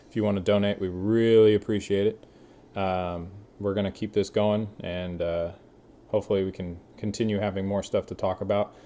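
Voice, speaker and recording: A man's voice: lowish voice, level tone, normal speed, warm tone. A young man co-hosting a podcast, chatting casually, swearing often and calling his friend dude. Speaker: podcast co-host. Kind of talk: casual chat.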